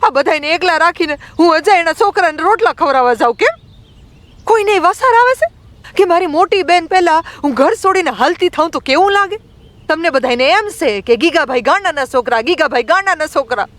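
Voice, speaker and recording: A woman voicing a fierce, tearful thirteen-year-old village girl in an audiobook drama, with a rural Gujarati accent and loud, indignant questioning. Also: very high-pitched, expressive, no room sound. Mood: angry, hurt. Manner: loud, indignant, rapid-fire rhetorical questions.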